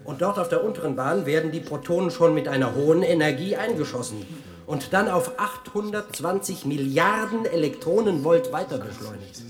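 An actor playing a showy physics guide, lecturing a tour group in a declamatory, explanatory way in a radio play. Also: male voice, on the high side, animated, moderate pace, music in the background, natural voice.

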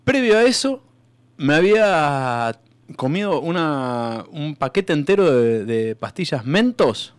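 A man's voice: a little high, big pitch swings, slow pace, clean tone, dry recording. A man playing an eager, rambling martyred man in a comedic radio sketch, hesitant and stammering as he claims his reward. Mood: eager, explaining.

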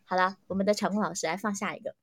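A woman hosting a casual, lively online game segment, chatting informally with guests and listeners. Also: mid-range voice, rapid-fire, heavy background noise. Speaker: host of audio drama fan event livestream.

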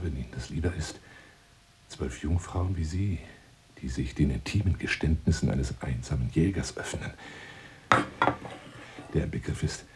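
An actor playing a pompous, lonely hunter seducing a woman in a radio drama monologue, grandiloquent and insinuating, moving between hushed and declaimed phrases. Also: a bass voice, slightly rough, full character voice. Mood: hushed, seductive.

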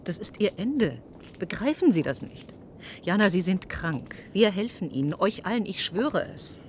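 A woman's voice: mid-range voice, sing-song, normal speed, slight background noise, lightly acted. An actress playing an earnest, impassioned woman doctor in a German radio play, with an urgent, insistent manner. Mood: pleading.

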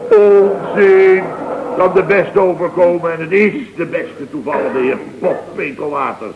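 An actor playing a blustering, self-important middle-aged boss telling indignant, rambling stories in 1970s radio comedy style. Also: male voice, on the high side, measured pace, background noise. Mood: self-important, boastful. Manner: exclamatory, rambling, rhetorical questions. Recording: dull vintage radio recording.

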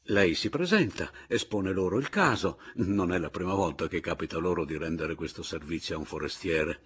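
A man voicing a servile, pleading male secretary in a story, evasive and ingratiating, with halting phrases. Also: sits low, expressive, fairly bright tone, husky, exaggerated voice. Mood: eager advising.

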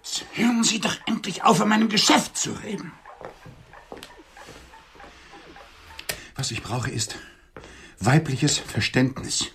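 A man's voice: high-pitched, big pitch swings, moderate pace, fairly bright tone, a little hiss or hum, full character voice. An actor playing a lonely middle-aged man on a blind date in a radio drama, formal and flowery, touchy and wistful. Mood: indignant, pleading. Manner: formal, flowery, theatrical phrasing.

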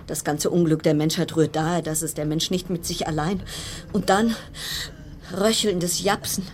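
A woman's voice: lowish voice, steady tone, normal speed, a little hiss or hum, natural voice. A woman acting in a radio play as an anxious, sardonic quarantined passenger, delivering a brooding, dramatic interior monologue. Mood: oppressed, tense.

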